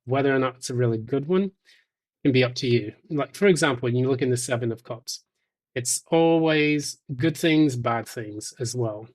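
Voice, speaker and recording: A man giving an intuitive tarot reading straight to the listener, speaking in a measured, halting way with thoughtful pauses. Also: mid-range voice. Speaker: tarot reader.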